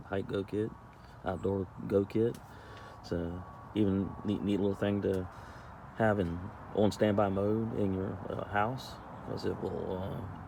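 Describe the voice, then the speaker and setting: A man with a gravelly voice giving a casual product review as a vlog host, halting and often pausing mid-sentence. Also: low voice, warm tone. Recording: noticeable background noise.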